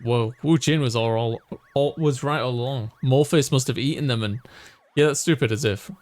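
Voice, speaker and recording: A man voicing an excitable, gossipy high school student in a visual novel, chatty and rambling. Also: moderate pace, natural voice. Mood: excited, admiring.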